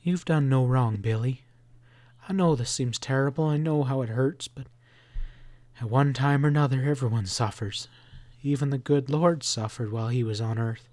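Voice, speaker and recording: A soft-spoken, caring farm mother, as a male storyteller puts her on, murmuring low and soothing words. Her voice is dry and close, with no room around it. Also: moderate pace, no room sound, close to an everyday voice. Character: gentle caring farm mother, comforting son.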